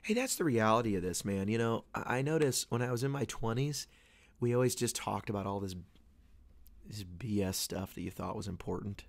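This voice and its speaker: A man's voice: fairly low pitch, a little fast, gravelly, dry recording. A man in his thirties hosting a casual solo podcast, talking in a rambling, earnest way with many restarts while he is losing his voice. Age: 30s. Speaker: podcast host. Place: away from home, dry small space.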